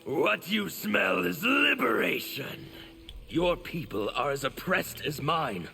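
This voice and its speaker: A fervent game revolutionary with a high, gravelly voice. He declaims defiantly, his pitch swinging widely as he agitates. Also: very animated, slow pace, pushed and bright, faint music behind, exaggerated voice. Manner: impassioned, declamatory. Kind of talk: video game fight-intro dialogue.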